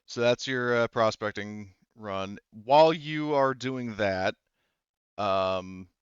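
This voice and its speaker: A man's voice: on the low side, slow, clear, resonant tone. A man speaking casually as game master of a tabletop role-playing game, hesitant and thinking aloud. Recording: dull, roomy lo-fi voice recording.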